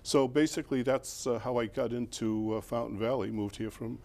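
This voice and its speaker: An older man from Boston speaks with a clear Boston accent, at an ordinary pitch for a man. He tells his stories in an easygoing, rambling way, with many fillers and soft chuckles, as a guest on an interview show. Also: fairly even intonation, normal speed. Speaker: former mayor, guest. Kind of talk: interview, relaxed storytelling.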